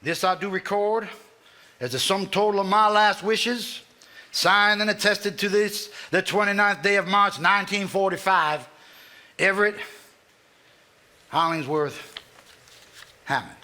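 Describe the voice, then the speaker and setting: A man with a Southern US accent playing a formal, measured family lawyer reading a will aloud in a live stage drama. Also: sits high, pushed and bright. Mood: solemn, formal. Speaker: stage actor.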